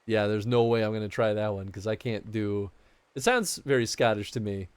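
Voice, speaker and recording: A man's voice: fairly low pitch, normal speed, clean tone, no echo. A man chatting casually on a live game stream, reacting playfully and laughing often.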